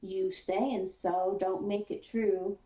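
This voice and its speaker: Lady reading as strict country dad lecturing his kid, storybook, slow and sharp, roomy sound. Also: mid-range voice, flat delivery, natural voice. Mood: accusing. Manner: folksy rural phrasing, sharp and insistent. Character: stern, plain-spoken father lecturing his young son. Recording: roomy echo, distant mic.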